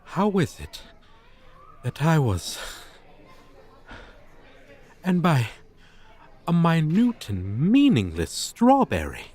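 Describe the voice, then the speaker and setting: Guy doing a proud old dragon teacher for asmr roleplay, super formal and pompous, takes his time, pitch swoops around. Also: wildly swooping pitch, takes it slowly, long pauses, a little mellow, husky, full character voice. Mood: incredulous, baffled. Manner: pompous, archaic, stilted formal diction.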